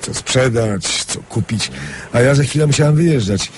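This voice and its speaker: A man's voice: medium pitch, moderate pace, background noise, close to an everyday voice. An actor playing an affable young businessman in a radio drama, boasting and chuckling as he tells stories. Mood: breezy, pleased. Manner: chuckling, storytelling, animated. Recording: radio play with murmuring crowd behind.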